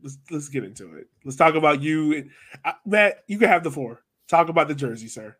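A man's voice: a little high, animated, a little fast, fairly bright tone, breathy edge. A man hosting a casual sports podcast, chatting playfully with his guests. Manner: playful, addresses guests as sir. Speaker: podcast host.

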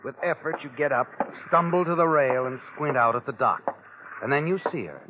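An actor playing an omniscient, taunting narrator of crime tales in vintage radio drama style, dramatic and knowing. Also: male voice, mid-range voice, brisk, slight background noise, close to an everyday voice. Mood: ominous narrating. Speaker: host and narrator. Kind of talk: 1940s-50s radio drama narration in second person. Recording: tinny band-limited vintage radio recording. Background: dramatic music.

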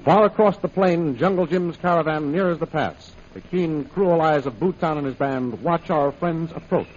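A man's voice: a little high, normal speed, a little mellow. A male announcer narrating an old radio adventure serial in a theatrical, emphatic, scripted style. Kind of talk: dramatic 1930s radio announcing. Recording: muffled, noisy vintage radio recording.

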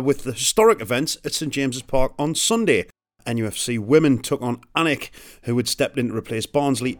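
A slightly hoarse man hosting a podcast, upbeat and jokey in casual chat. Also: medium pitch. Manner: upbeat, jokey, stumbles over words. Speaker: podcast host.

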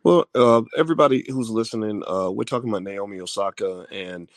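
A man's voice: lowish voice, brisk, dry recording. A man co-hosting a podcast, chatting casually and joking as he reads out viewer comments. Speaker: podcast co-host. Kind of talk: casual live-stream chat.

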